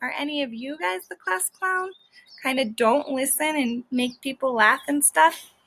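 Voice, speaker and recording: Woman, teacher reading to children, high pitch, bright voice, simple explanations, questioning. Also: moderate pace. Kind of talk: children's book read-aloud with explanations.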